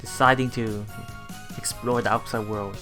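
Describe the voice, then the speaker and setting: Bright-voiced man narrating a game. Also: lowish voice, fairly even intonation, normal speed. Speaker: let's play host. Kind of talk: reading visual novel text aloud with casual commentary. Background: game music.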